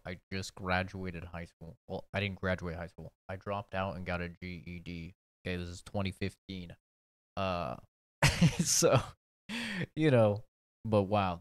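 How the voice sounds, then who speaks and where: A young man in his twenties talks in a low, smooth voice, easygoing and chatty, teasing himself as he goes. The sound is close and dry, with no room behind it. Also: no room sound. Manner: laid-back, chatty, self-mocking. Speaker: YouTuber reacting to his old video. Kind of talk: casual reaction commentary.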